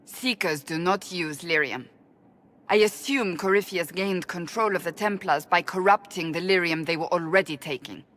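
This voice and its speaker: Woman voicing a stern woman warrior, low-voiced. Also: a bit bright, lightly acted. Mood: serious. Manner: serious, measured.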